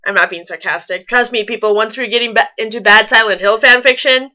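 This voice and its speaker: A woman's voice: a little high, brisk, bright, forceful tone. A woman reading fan fiction aloud and commenting on it casually. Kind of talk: storybook-style reading mixed with casual mocking commentary.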